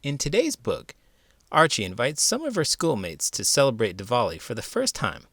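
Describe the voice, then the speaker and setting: Animated man reading a storybook aloud. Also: mid-range voice, normal speed. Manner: expressive, dramatic delivery with big emotions. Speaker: elementary school teacher reading children's books aloud.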